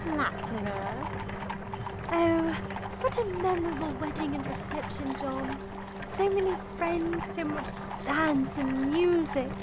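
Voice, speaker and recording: An actress playing a spirited Victorian bride and sharpshooter in a radio drama, with a theatrical, exclamatory delivery. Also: female voice, high voice, animated, takes it slowly. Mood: tender. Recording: loud dramatic music bed. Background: music.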